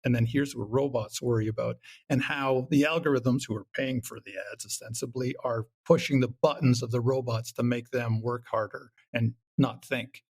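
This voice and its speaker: A man's voice: medium pitch, steady tone, normal speed, creaky, few pauses, a little mellow, breathy edge, poor audio. A man talking casually as an interview guest, wry and rambling, often acting out little quotes. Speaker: podcast guest, comedy show creator.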